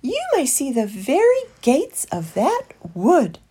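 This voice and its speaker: A woman voicing a gentle female turtle dove in a storybook, cooing and cryptic. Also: high voice, huge pitch swings, takes it slowly, husky, pitched above the performer's own voice, full character voice. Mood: serene welcome. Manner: cooing, riddling.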